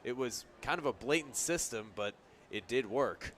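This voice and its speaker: A man's voice: mid-range voice, lively intonation, moderate pace, a bit bright. A man giving conversational, explanatory color commentary during a live baseball radio broadcast. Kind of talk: conversational live game analysis. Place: outdoor baseball stadium. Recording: clearly noisy outdoor stadium background. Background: outdoor ballpark ambience.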